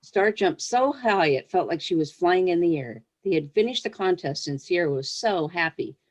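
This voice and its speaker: A woman with a distinctly low-pitched voice speaks as a children's book author. She is a guest on a storytime show, sharing her work and thoughts in an easy, plain-spoken way. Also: lively intonation, normal speed. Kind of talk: storybook read-aloud, then casual interview answers.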